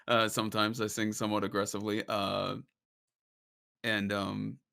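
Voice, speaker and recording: Gravelly, bright-voiced man chatting. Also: fairly low pitch, slow pace. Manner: laughs often, explains at length. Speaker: podcast guest, singer. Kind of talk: casual chat.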